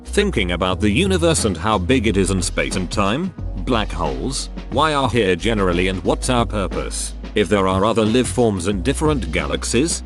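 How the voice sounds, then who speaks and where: A man's voice: fairly low pitch, big pitch swings, normal speed, few pauses, music in the background. A man reading out internet forum posts as scripted narration, delivered in short separate statements.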